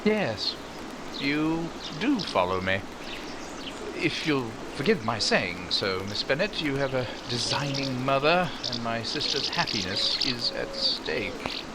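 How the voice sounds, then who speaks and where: An actor plays a proud gentleman in an old radio romance. His medium-pitched voice is formal and stiff, halting awkwardly, with clear noise in the background. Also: very animated. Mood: stiff, reserved. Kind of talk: 1940s radio romance acting.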